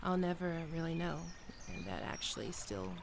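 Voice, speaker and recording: A performer playing a gentle young best friend with a secret crush, speaking softly and hesitantly in an ASMR roleplay, comforting and tender. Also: mid-range voice, sing-song, moderate pace, vocal fry, background noise. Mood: wistful. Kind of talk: soft-spoken ASMR roleplay.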